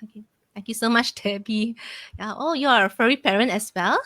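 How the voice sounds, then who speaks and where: A young adult woman hosting a casual live-stream interview, talking in a halting way with filler phrases. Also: fairly high pitch, bright, forceful tone, dry recording. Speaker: interview host, online business mentor.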